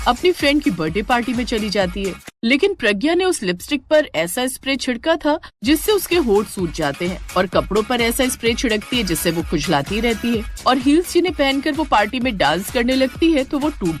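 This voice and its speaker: A woman's voice: a little high, big pitch swings, moderate pace, soft background music. A woman narrating a scripted moral story as a storyteller.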